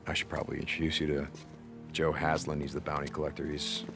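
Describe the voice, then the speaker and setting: An actor playing a gruff but protective biker drifter in a game, American, speaking in a halting, informal way. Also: male voice, a bass voice, completely flat delivery, over music. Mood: awkward, friendly. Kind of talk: video game cutscene acting.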